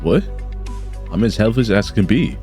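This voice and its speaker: A man's voice: lowish voice, expressive, moderate pace, mellow, natural voice. A man playing a weary, guarded prison official with a wary, grumbling manner in an amateur game dub. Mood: dismissive. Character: weary, guarded male prison official, low-ranking lackey. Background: background music.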